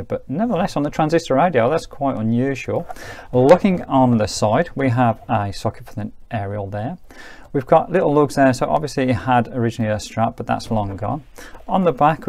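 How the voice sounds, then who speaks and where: A man's voice: medium pitch, a little mellow. A man giving an informal hands-on review in a chatty, rambling way, often correcting himself as he goes. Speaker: presenter of a vintage radio review.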